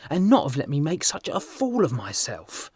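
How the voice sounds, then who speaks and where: A man voicing an earnest, excitable, easily embarrassed young gentleman in an audiobook, plaintive and impulsive. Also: on the high side, normal speed, husky, no echo, studio quality, pitched above the performer's own voice, exaggerated voice. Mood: sulky, embarrassed. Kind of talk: audiobook character dialogue.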